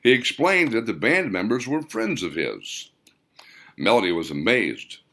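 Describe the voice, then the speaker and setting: A man's voice: on the low side, huge pitch swings, unhurried, vocal fry, a bit bright. A man reading a scripted story aloud as the author and narrator of an audiobook.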